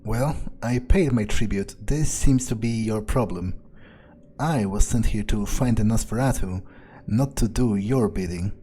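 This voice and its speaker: A man's voice: lowish voice, unhurried, warm tone, a little hiss or hum, lightly acted. A man voicing a cynical, self-serving vampire newcomer in an in-character game playthrough, musing aloud in a dryly sardonic way. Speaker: gameplay video creator. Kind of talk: in-character game roleplay narration. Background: music.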